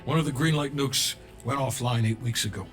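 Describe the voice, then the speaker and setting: A man acting an unrepentant Cold War intelligence official in a video game. The voice is medium in pitch, cold, measured and guarded, with clear music underneath. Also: close to an everyday voice. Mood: measured explaining.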